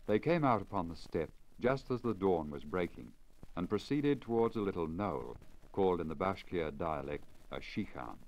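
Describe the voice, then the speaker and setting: A man reading a literary story in a measured, formal, carefully enunciated 1950s radio storytelling manner. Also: low voice, very animated, darkish tone, lo-fi sound. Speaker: story reader, radio broadcaster. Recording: crackly deteriorated 1950 lacquer disc recording. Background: surface crackle and noise.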